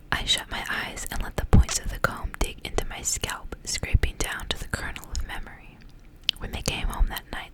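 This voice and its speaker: A woman reads a story in a hushed whisper, entirely breathy and unvoiced. Her delivery is soft and intimate, in an ASMR style. The phrasing is measured, like a storyteller reading from a book. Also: low-quality recording.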